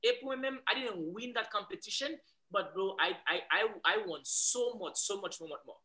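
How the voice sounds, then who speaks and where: A young man from Haiti speaks with a Haitian accent and a bright, ringing tone. He tells his story animatedly, stumbling into restarts as he builds momentum. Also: high voice, brisk. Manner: animated storyteller, many restarts, growing louder as he goes. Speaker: guest. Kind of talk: casual interview, switching between English, Creole and French.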